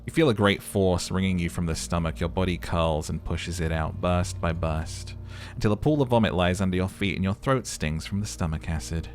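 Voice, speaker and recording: A man's voice: deep voice, brisk, slight background noise. A man playing through a video game, giving commentary and dramatic narration. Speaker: let's player narrating and voice acting a game. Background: game music.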